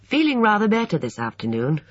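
A man's voice: high voice, huge pitch swings, a little fast, no room sound, voice pushed up, heavily acted. A male narrator voicing a sensible, prim young woman housekeeper, on a dull lo-fi recording.

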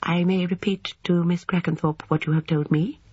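A woman voicing a formal, reassuring gentleman adviser with a measured, courteous manner in an audiobook. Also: lowish voice, fairly even intonation, slightly rough, pitched above the performer's own voice. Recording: dull, roomy, echoing sound.

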